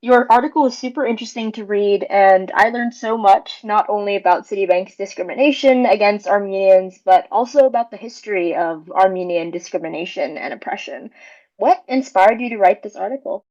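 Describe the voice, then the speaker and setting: A woman's voice: mid-range voice, a little fast, darkish tone. Woman co-host asking questions, dull audio. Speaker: podcast co-host. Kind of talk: interview questions.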